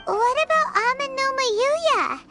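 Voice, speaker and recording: A woman voicing a tiny, childlike fairy companion in a video game, squeaky, anxious and chatty. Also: lively intonation, takes it slowly, over loud music, heavily acted. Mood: curious, anxious. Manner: squeaky, refers to self in third person.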